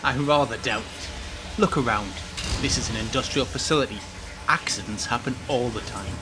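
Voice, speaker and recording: A man's voice: mid-range voice, moderate pace, music in the background, natural voice. A man playing a ruthless, smug villainous facility boss in an audio drama, speaking pompously and with cold menace over noise. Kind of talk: scripted audio drama acting.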